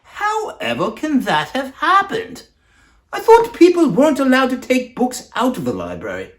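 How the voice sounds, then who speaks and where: Indignant high-voiced woman, acted by a woman. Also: wildly swooping pitch, moderate pace. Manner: pointed, querulous. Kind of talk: dramatized novel reading.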